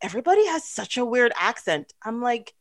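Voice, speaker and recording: A woman's voice: on the high side, sing-song, a little fast, slight background noise. A woman in her thirties from Canada, hosting a podcast and chatting casually, laughing often and using lots of fillers. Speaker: podcast host. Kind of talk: casual chat.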